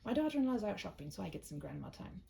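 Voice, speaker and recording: A genial grandmother from a storybook, acted out by a woman narrator, chatty and fondly exasperated. Her pitch swings widely and lifts brightly upward, with some creak and a faint hiss behind it. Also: very animated, fast talker. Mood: cheerful, chatty.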